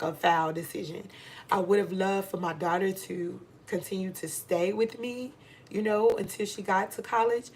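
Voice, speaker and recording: A woman's voice: mid-range voice, husky. A middle-aged American woman giving a candid motivational monologue to viewers, speaking emphatically and repeating phrases for stress. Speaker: vlogger giving advice to women.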